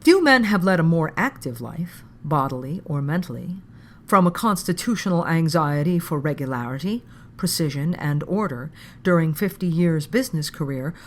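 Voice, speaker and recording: A woman reading an old formal text aloud in an audiobook style, with measured, deliberate phrasing. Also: low voice, expressive. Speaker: podcast host reading aloud.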